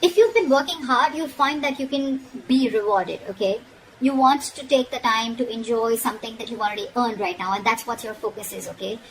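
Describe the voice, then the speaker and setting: A woman's voice: on the high side, fairly quick, poor audio. A woman giving an informal, frank tarot reading, speaking directly to the listener and often checking in as she admonishes. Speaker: tarot reader. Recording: noticeable background noise.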